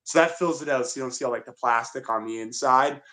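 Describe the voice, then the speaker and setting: A man co-hosting a podcast, chatting casually with crude jokes and quick wisecracks. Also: medium pitch, a loud crowd. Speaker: podcast co-host. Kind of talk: casual chat.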